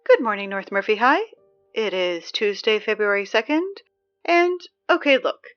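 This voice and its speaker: A woman acting as an exasperated, wry high school student reading morning announcements in an apocalypse audio drama, halting and conversational. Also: expressive, a bit bright, muffled recording, natural voice. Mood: firm, exasperated. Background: music.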